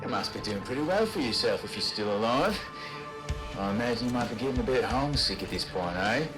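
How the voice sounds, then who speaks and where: A man's voice: medium pitch, expressive, brisk, natural voice, low-quality recording. A man voicing a cocky, wisecracking rogue fighter in a video game, with a jaunty, folksy, teasing way of talking. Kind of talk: video game character dialogue. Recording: voice under loud game music. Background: loud game music.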